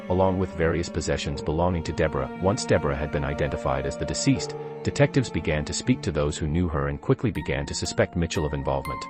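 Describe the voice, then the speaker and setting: A man reading scripted narration for a true crime documentary. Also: rumbling low.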